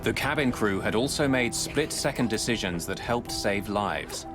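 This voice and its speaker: Measured male documentary narrator over music. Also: on the low side, lively intonation. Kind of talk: scripted dramatic documentary narration. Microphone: close studio voice-over mic. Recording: music bed under narration.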